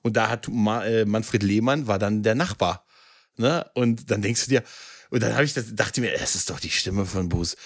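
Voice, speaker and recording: A man's voice: lowish voice, normal speed. A man in a casual chat, telling anecdotes colloquially and often tagging his sentences with little checking questions. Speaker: podcast co-host.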